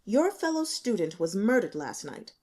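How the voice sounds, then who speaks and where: Audiobook role: woman plays male investigator, stern, insistent, probing, expressive delivery. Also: mid-range voice, moderate pace. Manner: insistent, pointed questioning. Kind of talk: audiobook character dialogue.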